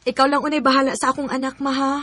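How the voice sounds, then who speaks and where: High-voiced young Bisaya mother. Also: flat delivery, rapid-fire, fairly bright tone, no echo. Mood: weary, hopeful. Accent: Bisaya Filipino. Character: hard-working, struggling young single mother, tender and cautious. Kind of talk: Bisaya radio drama acting.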